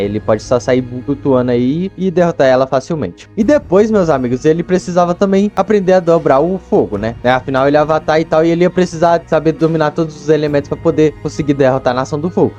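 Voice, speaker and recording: Fast-talking Brazilian man, casual commentary. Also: fairly high pitch, warm tone, lo-fi sound. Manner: informal, slangy, conversational. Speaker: video creator. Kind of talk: casual video commentary on a cartoon.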